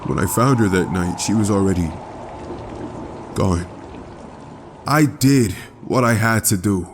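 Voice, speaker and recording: A man's voice: lowish voice, unhurried, a little hiss or hum. An actor playing a bitter, sardonic young man narrating his own origins in a dramatic comic dub. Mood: wry, bitter. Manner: sardonic, wry. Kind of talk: dramatic first-person narration.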